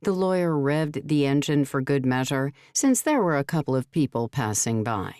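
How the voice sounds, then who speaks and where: Low-voiced woman, scripted audiobook narration, dry close sound. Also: big pitch swings, normal speed, darkish tone, no room sound.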